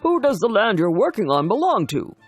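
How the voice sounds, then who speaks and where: A woman's voice: mid-range voice, big pitch swings, brisk, husky, faint music behind, voice pushed up. A woman voicing a gracious king in a children's storybook, with a regal, declarative manner.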